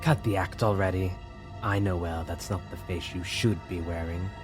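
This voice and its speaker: A man's voice: low-pitched, fairly even intonation, normal speed, music in the background, lightly acted. A man playing a cold, disdainful villain in an audio drama, speaking in a curt, threatening, condescending manner. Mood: contemptuous.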